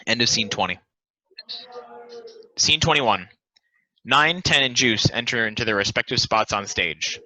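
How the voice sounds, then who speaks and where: A man's voice: medium pitch, a little slow, long pauses, bright, forceful tone, rough recording. A man reading stage directions as scripted narration for a play's table read.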